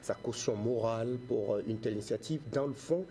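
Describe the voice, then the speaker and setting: Mellow-voiced male TV interviewer. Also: mid-range voice, brisk, a little hiss or hum. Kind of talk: talk show interview questioning.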